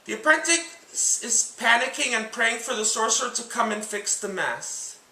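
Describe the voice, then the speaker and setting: A man narrating a scripted book and film comparison as host, speaking in a deliberate, enunciated, presentational way. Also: sits high, sharp tone. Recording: echoey room sound.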